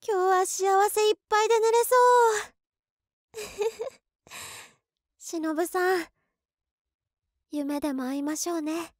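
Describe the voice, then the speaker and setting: A woman's voice: extremely high pitch, steady tone, slow, pauses often, no echo, clean studio sound. A woman playing a shy, anxious, devoted young office worker in a voice drama, girlish, flustered and earnest. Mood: giddy, happy. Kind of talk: Japanese voice drama monologue.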